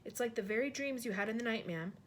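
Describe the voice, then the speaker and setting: A woman's voice: fairly high pitch, fast, slight background noise, natural voice. A woman voicing a timid, quivering old maid. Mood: trembling. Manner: hesitant, quivering, polite. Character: older, timid, deferential royal maid.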